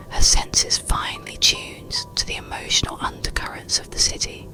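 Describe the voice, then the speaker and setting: A narrator reading a scripted bedtime story in a breathy, unvoiced ASMR whisper, soothing and hushed, with unhurried phrasing. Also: rumbling low, rough recording. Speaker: audiobook narrator.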